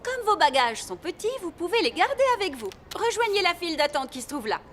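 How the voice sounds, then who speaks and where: Une comédienne qui double une lapine adulte affairée et enjouée dans un dessin animé pour enfants, au débit vif et chantant. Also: female voice, very high-pitched, sing-song, a little fast. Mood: polite, efficient. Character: busy, cheerful adult lady rabbit working many jobs. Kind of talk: children's cartoon dubbing. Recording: loud music behind the voice.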